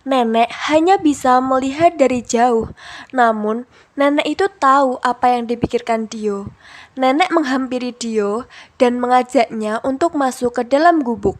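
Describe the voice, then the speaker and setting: Young woman, high voice, slow pace, children's storytelling, scripted narration. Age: young adult. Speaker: student storyteller.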